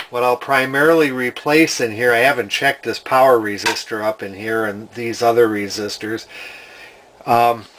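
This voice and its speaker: A man's voice: medium pitch, a bit bright, a little hiss or hum. A man giving casual hands-on repair commentary as a hobbyist, hesitantly thinking aloud as he goes. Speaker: hobbyist radio restorer presenting a repair video.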